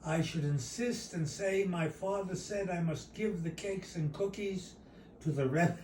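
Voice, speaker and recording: Unhurried man reading a story, roomy recording. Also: high voice, darkish tone. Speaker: author reading own short story. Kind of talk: narrative reading aloud of a personal story. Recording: roomy, distant mic, faint noise.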